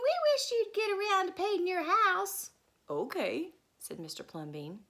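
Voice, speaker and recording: A woman reading a picture book aloud to children, expressive and stopping to ask her listeners questions. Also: high voice. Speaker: storyteller.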